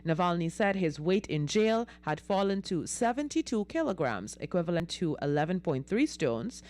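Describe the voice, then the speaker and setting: A woman's voice: lowish voice, very animated, normal speed, darkish tone. A woman presenting the news on radio, reading from a script in a studio.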